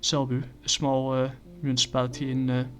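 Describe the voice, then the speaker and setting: Halting man reviewing comics, flat delivery. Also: mid-range voice. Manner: halting, many fillers and restarts. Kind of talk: casual spoken review.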